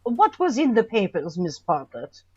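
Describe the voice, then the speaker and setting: A woman's voice: mid-range voice, wildly swooping pitch, unhurried, a little mellow, slightly rough, no echo, highs cut off, full character voice. A woman playing a stern, formal official who questions witnesses at an inquest in an audio drama. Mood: formal, probing. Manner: formal, courtly, commanding.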